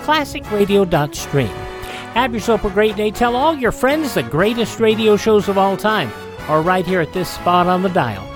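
A man's voice: sits high, lively intonation, normal speed, over music. A man hosting a classic radio show, giving a friendly promotional sign-off. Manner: friendly promotional host patter. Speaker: radio host.

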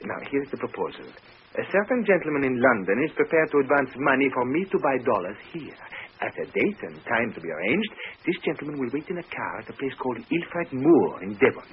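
A male actor as a smooth French swindler with theatrical manners. His pitch swoops freely and his words come quickly, all ornate courtesy in a French accent. It sounds like a muffled old radio play with some hiss. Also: sing-song, lightly acted. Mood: confiding, businesslike. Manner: ornate, mock-courteous phrasing. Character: suave, theatrical French husband turned genial con-man. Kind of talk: 1950s radio drama acting.

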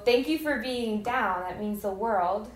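A woman's voice: a little high, measured pace. A thirty-year-old woman hosting a podcast, chatting in an effusive, informal and slangy way. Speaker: podcast host. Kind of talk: casual interview chat. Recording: roomy, echoey sound.

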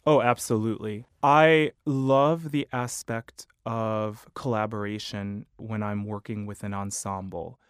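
A man who is a choral conductor and high baritone singer, answering interview questions in a thoughtful, measured, articulate way. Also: clean tone, no echo, studio quality.

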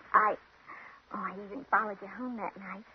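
An actress playing a wistful young woman with an old crush in a 1950s radio drama, speaking softly, hesitantly and coyly. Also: mid-range voice, fairly even intonation, moderate pace, natural voice. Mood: wistful, admiring. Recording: muffled, noisy old radio recording.

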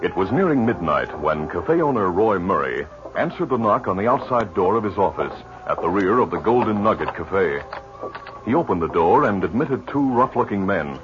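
A man announcing and narrating a 1950s radio drama in a booming, theatrical way, on a dull, lo-fi old recording. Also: sing-song, normal speed, over music.

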